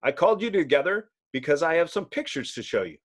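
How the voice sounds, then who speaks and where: A man's voice: on the high side, big pitch swings, quick pace. A man voicing a commanding scientist hero in a comic book, explaining things earnestly and decisively. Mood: urgent. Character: brisk, commanding male scientist hero, team leader.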